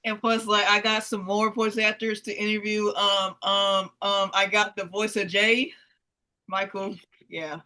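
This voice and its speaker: A young woman hosting a casual interview, speaking haltingly with many fillers and repeated words. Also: medium pitch, little pitch movement, sharp tone. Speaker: interview host. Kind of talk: casual video-call interview.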